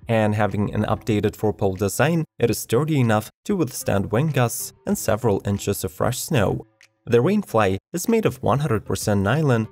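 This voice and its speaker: Low-voiced man reading product reviews. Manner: even, list-like product reading. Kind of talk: scripted voice-over narration. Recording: voice-over with faint background music.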